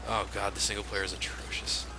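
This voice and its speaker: A man with a lowish voice commentates on a game he plays. He is casual and wry, talking over noticeable background noise. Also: little pitch movement, fairly quick. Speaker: let's play commentator. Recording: noisy game sound under commentary.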